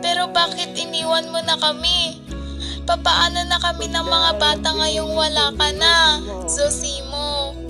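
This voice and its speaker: A woman's voice: very high-pitched, flat delivery, measured pace. A teenage Filipino girl playing a devoted, poor young wife and mother in a student radio drama. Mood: grieving. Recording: music behind the voice.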